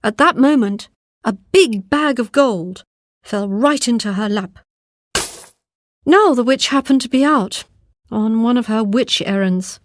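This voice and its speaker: A woman's voice: a little high, no echo, studio quality. A woman narrating a scripted fairy tale as a storyteller.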